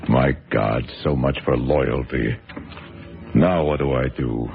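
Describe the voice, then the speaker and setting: A man's voice: extremely low pitch, slow pace, vocal fry, heavily acted. An actor playing a man muttering to himself and scheming in a radio drama. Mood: bitter. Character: scheming middle-aged male TV producer. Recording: tinny radio recording with loud music.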